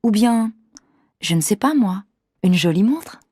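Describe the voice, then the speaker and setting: Affectionate, coaxing wife played by an actress, mellow relaxed voice, very dry studio sound. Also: female voice, medium pitch, unhurried, breathy edge, no echo. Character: affectionate, insistent wife planning a birthday gift. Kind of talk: scripted language-lesson dialogue.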